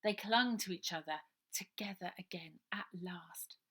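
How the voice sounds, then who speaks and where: Woman, slightly low pitch, bright forceful tone, dramatic children's audiobook narration. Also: a little slow. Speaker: author reading her own novel.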